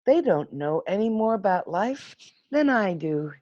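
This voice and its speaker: A woman voicing a restless, curious young male caterpillar in a storybook, expressive and wondering, on a muffled video call. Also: medium pitch, unhurried, dry recording.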